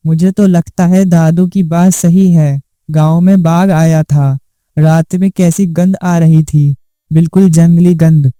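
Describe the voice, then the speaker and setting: A man's voice: a little high, fairly even intonation, takes it slowly, warm tone, dry recording, clean studio sound. A man playing a worried, suspicious village man in a cartoon moral story, emphatic and questioning. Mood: fearful. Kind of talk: Hindi animated moral story acting.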